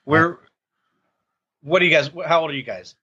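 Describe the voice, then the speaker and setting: A man in his thirties in a casual podcast chat, quickly chiming in with facts. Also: a little high, bright, forceful tone, husky, highs cut off. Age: 30s. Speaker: podcast co-host.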